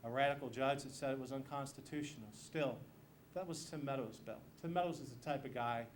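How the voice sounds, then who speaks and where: A man's voice: mid-range voice, brisk. An American man speaking as a political candidate, giving an emphatic campaign speech with repeated phrases. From Missouri, United States. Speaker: state legislator and candidate. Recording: noisy live event room recording.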